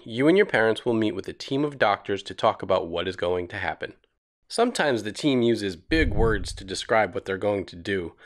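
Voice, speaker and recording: A man reads scripted narration for an educational children's cartoon. His intonation moves up and down with plenty of animation. He explains each point in simple, reassuring phrases meant for young listeners. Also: medium pitch.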